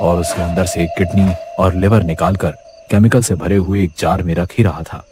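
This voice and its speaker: A man's voice: sits low, fairly even intonation, moderate pace, background noise. A man narrating a scripted horror story as its storyteller.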